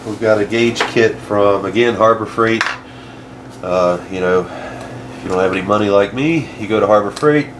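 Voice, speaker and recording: A man giving a casual, plain-spoken, halting hands-on demonstration as a DIY car repair host, working over an engine bay. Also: on the low side. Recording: clear engine-bay noise and clatter.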